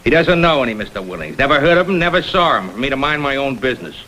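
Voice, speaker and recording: A man's voice: medium pitch, big pitch swings, fast talker, pushed and bright, poor audio. An American man playing a hard-nosed, sceptical police lieutenant in a vintage TV crime drama, blunt and terse. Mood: sardonic. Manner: blunt, terse, world-weary.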